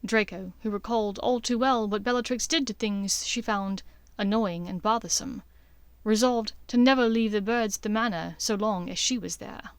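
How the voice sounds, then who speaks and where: A woman's voice: medium pitch, normal speed, clear, resonant tone, dry recording. A woman reading scripted fiction as an audiobook narrator.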